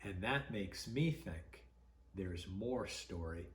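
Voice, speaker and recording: A man reading a story to children as a pastor would, dramatic and expressive, with deliberate pauses. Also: deep voice, echoey room.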